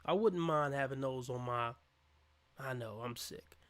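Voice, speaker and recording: A man's voice: medium pitch, measured pace. A man reacting on a livestream to a dating show, mocking and scornful as he rants over the clip. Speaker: livestream commentator. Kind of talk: reaction commentary.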